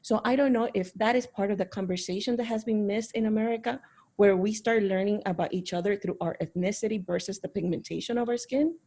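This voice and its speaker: A woman sharing reflective, personal remarks in a panel discussion over a video call. Her voice is mellow and dark, with a husky edge. She talks in an earnest, thoughtful way. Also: medium pitch, a little fast. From Caribbean, raised in Miami. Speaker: panel participant.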